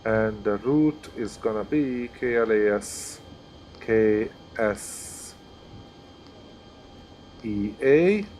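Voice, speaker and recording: A man's voice: on the low side, expressive, drawn-out words, pauses often, a little mellow, slight background noise. Chatty Hungarian-accented man talking himself through tasks. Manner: chatty, thinks aloud while working through steps. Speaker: flight sim streamer. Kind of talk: live-stream gameplay commentary.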